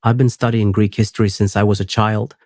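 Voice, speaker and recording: A man reading the lines of a woman historian guest in a steady, even voice. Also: fairly low pitch, fairly quick, no echo, clean studio sound, close to an everyday voice. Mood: earnest.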